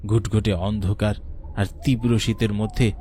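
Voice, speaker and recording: A man's voice: fairly low pitch, little pitch movement, normal speed, mellow, slight background noise. A man giving scripted narration for a horror story as its narrator.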